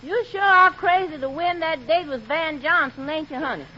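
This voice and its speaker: High-voiced, jolly, sassy housemaid. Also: female voice, normal speed, pushed and bright. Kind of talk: 1940s radio comedy acting. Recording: muffled, noisy radio recording, studio audience.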